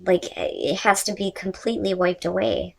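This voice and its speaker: A woman with a medium-pitched voice reads tarot cards for her audience. She speaks casually and without a script, stressing her points and talking straight to the listener. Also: normal speed, vocal fry, a little mellow. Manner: conversational and emphatic, with mild swearing. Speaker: tarot reader, host. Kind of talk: casual unscripted tarot reading addressed to viewers.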